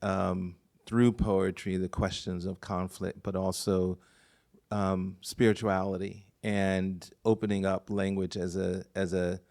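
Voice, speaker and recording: Male poet as interviewer, low voice, unhurried, encouraging, live stage event. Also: little pitch movement, vocal fry, darkish tone. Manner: encouraging, brief affirmations. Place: bookstore event stage before an audience.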